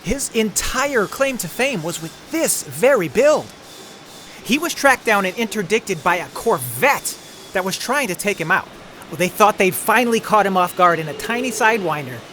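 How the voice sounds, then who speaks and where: Very animated, friendly spaceship mechanic played by a man. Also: high-pitched, moderate pace, slight background noise, heavily acted. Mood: amazed. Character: friendly, excitable male starship mechanic. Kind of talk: audio drama acting.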